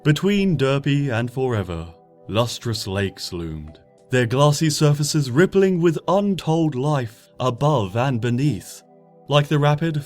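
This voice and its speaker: A man narrates a fan story with a lively, swooping delivery, rising for the dramatic moments and sinking low and quiet for the tender ones. His voice sits at a middle pitch. Gentle music plays faintly underneath. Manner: lively, dramatic storytelling with soft, hushed passages. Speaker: fanfiction reader. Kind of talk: expressive audiobook narration. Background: soft background music.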